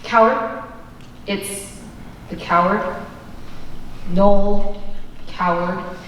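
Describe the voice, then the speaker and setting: A young woman playing a brisk, bossy stage manager in a play, recorded from far off in an auditorium. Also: mid-range voice, steady tone. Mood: gushing, dramatic. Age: young adult. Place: high school auditorium stage. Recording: reverberant hall from far off, faint noise.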